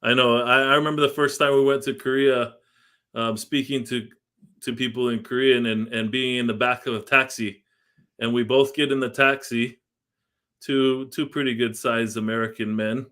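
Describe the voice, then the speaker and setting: A man's voice: medium pitch, fairly even intonation, normal speed, fairly bright tone. Man hosting a casual podcast chat. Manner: friendly, reminiscing, laughs along. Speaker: podcast host.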